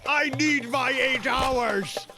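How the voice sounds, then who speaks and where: A player at a tabletop game, animated and joking about bad rolls. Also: high voice, slow. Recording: faint music underneath.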